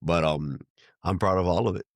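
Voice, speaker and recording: Very deep-voiced man, Venezuelan-tinged accent, dry sound. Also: moderate pace, vocal fry, dry recording. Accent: Venezuelan Spanish-tinged American English. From United States. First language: Spanish. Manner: rambling storyteller, many restarts and quoted dialogue. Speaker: podcast guest. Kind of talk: casual conversation.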